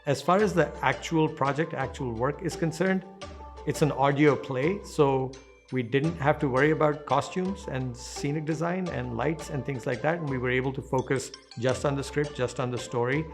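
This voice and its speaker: A theater artist speaks at a middle pitch in a reflective interview style. Faint music plays behind the voice. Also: normal speed.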